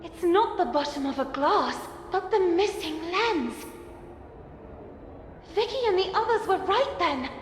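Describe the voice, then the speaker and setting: An actress playing an earnest, excitable young girl, speaking dramatically in an animated game. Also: extremely high pitch, measured pace, echoey room, long reverb tail, a little hiss or hum. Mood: startled realization.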